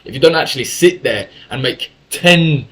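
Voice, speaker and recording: A man's voice: mid-range voice, lively intonation, normal speed, fairly bright tone. A young man from England telling a story in a casual monologue, talkative and full of swearing and self-corrections. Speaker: YouTuber.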